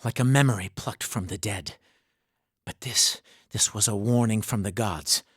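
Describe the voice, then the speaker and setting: Stern, haunted mercenary commander. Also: male voice, lowish voice, moderate pace, breathy edge, dry recording, studio quality. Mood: grave, haunted. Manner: grave, accusatory, formal speech. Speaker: video game character. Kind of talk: dramatic fantasy game voice acting.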